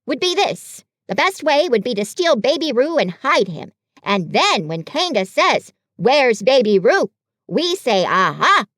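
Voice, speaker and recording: A woman's voice: high voice, big pitch swings, no room sound, studio quality, exaggerated voice. Woman voicing a fussy, bossy storybook rabbit. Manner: brisk, loud and self-important.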